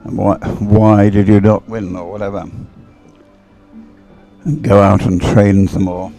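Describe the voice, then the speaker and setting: A man's voice: fairly low pitch, little pitch movement, measured pace, mellow, soft background music. An older man giving live commentary on a boat regatta, chatty and hesitant, often drifting into rambling asides. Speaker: sports commentator, former umpire.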